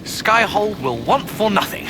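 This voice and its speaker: A man's voice: high voice, sing-song, a little slow, music in the background. A man voicing an earnest, dutiful official in a fantasy video game, speaking in formal, solemn phrases.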